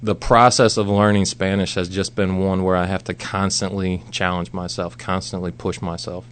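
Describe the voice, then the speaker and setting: A man's voice: low voice, little pitch movement, normal speed, clear, resonant tone. An American man of about forty, speaking casually as a guest in a radio interview. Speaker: guest, missionary. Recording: background noise and hum.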